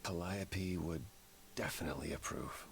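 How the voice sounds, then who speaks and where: An actor playing a grave, frank immortal man in a story game, with deliberate delivery. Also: deep voice, creaky, a little hiss or hum. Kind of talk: dramatic game dialogue.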